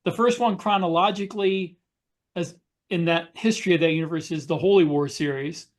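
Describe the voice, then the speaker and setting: Guy with a slightly high voice explaining stuff casually on a podcast. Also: brisk. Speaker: podcast panelist, author.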